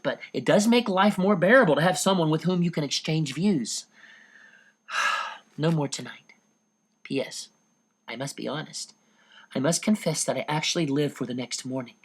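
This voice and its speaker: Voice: medium pitch, breathy edge, voice pushed up. A reader voicing a teenage girl reading her own diary in a wistful, confiding way.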